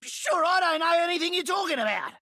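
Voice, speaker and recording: A manic Australian scavenger from a video game. The voice is extremely high and sharp, leaping about, jittery and shifty. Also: male voice, animated, fast talker, dry recording, full character voice. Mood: nervous, evasive. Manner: jittery, evasive. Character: manic, shifty male junker treasure hoarder. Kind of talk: video game character voice lines.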